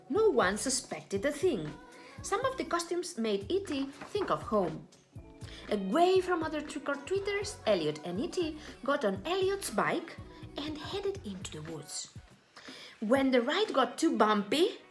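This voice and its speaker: A woman reading a children's story aloud with deliberate, dramatic, expressive phrasing. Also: sits high. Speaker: storybook reader.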